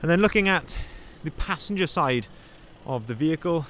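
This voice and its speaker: A man's voice: a little high, animated, moderate pace, a bit bright. Upbeat van salesman, faint outdoor hum. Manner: upbeat salesman pointing out features and flaws. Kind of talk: walkaround vehicle review. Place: outdoors at a van dealership lot and inside a van. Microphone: handheld phone camera, filming first-person.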